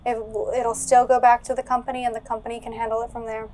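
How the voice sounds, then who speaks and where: A woman's voice: fairly high pitch, little pitch movement, fast, dark tone, slight background noise. A woman playing a tabletop role-playing game, speaking casually in character as she plans with the group. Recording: background music under the voice.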